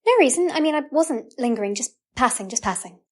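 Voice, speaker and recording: A high-pitched, jittery young woman as a government aide in an audio drama. She stammers and flusters, plainly a poor liar. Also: expressive, brisk. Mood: nervous, evasive.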